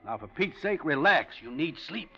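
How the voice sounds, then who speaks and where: An actor playing a steady, reassuring friend in an old radio drama, soothing and firm. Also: male voice, fairly high pitch, normal speed. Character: steady, reassuring male friend calming a shaken man. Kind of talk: 1940s radio acting. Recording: dull old radio recording.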